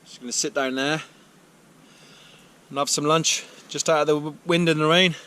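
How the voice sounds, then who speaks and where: A man rambles easily to his action camera while out hiking, pausing with fillers and repeating himself. His voice sits a touch high, and a faint wash of outdoor noise runs behind him. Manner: relaxed, thinking aloud with fillers and repeats. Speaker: hiking vlogger. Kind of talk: vlog narration to camera. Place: outdoors on a walk, then sheltering in a barn.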